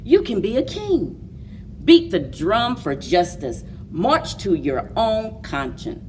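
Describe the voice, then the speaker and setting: A woman leads a story time for young children in an expressive, very slow delivery. Her voice sits a little low but swings widely in pitch. She is picked up from some way off the microphone. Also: distant mic, a little hiss or hum. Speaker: library story time host. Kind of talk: children's picture book read-aloud and story time.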